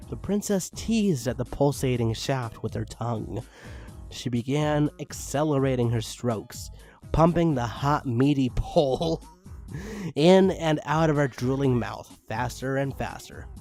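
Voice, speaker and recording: A man reading fan fiction aloud in a mock-dramatic style, breaking off for amused asides. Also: medium pitch. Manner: animated, breaks off to comment. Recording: music under the voice. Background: background music.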